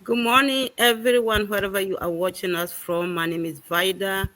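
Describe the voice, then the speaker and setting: A woman's voice: mid-range voice, fairly even intonation, normal speed, fairly bright tone. A woman from Ghana, introducing herself upbeat on a video call. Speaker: guest business partner.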